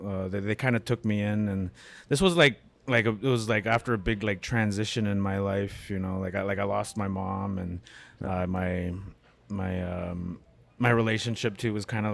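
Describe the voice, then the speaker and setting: A middle-aged man from Canada talks as a podcast guest in a cafe, his voice sitting low. He rambles, restarting sentences and padding them with fillers. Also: flat delivery, normal speed, vocal fry. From Canada, born in Winnipeg. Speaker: guest, comedian and beatmaker. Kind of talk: casual interview conversation.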